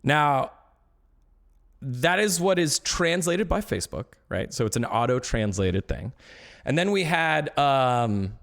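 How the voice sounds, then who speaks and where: A man's voice: medium pitch, sing-song, slow, gravelly, a bit bright. A man talking casually on a live stream about esports, ranting with growing indignation.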